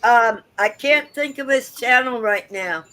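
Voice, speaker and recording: Bright, hesitant woman. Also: a little high, a little slow. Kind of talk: casual chat.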